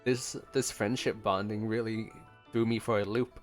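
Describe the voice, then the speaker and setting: A man's voice: lowish voice, fairly even intonation, moderate pace. Playful man doing casual game commentary. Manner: playful, talks along with the game characters. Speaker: gaming video host reacting to a story quest. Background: soft game music.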